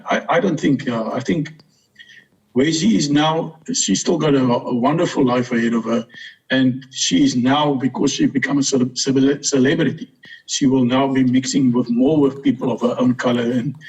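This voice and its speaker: A middle-aged Afrikaans-speaking man, a guest talking about his sister in a halting way with many restarts. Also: mid-range voice, darkish tone, husky, rough recording. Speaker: guest, older brother.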